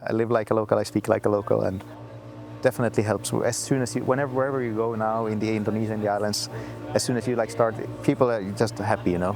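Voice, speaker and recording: A man's voice: fairly low pitch, little pitch movement, fast, noisy background. A man being interviewed, telling his story loosely, with many restarts and fillers. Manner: loose, filler-heavy talk with frequent restarts.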